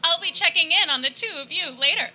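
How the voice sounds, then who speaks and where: A woman's voice: very high-pitched, quick pace, sharp tone, full character voice. A woman playing a perky, eager diner waitress in a comedic radio play, chirpy and attentive.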